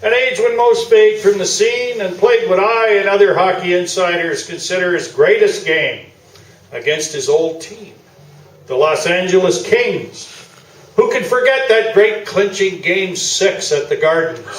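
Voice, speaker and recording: A man acts out a self-important old newspaper sports columnist in a comic radio play. The voice sits fairly high and rambles along, boastful and blustering. It is heard from a distance in an echoey theatre. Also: a little slow. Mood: smug, nostalgic. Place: small theatre stage, live performance. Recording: echoey stage, distant camera mic.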